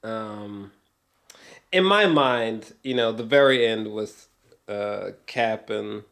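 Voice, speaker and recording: A man giving a casual, rambling film review as an excited fan, swearing freely, often hesitating and repeating himself for emphasis. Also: lowish voice, takes it slowly, creaky.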